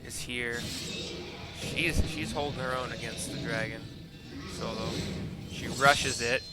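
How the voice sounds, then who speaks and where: Male streamer, mid pitch, casual piecemeal play-by-play of game cutscenes, prominent game music underneath. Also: steady tone. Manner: halting, piecemeal narration. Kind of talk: casual live audio description of game cutscenes. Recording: loud game music behind the voice. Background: game music and sound.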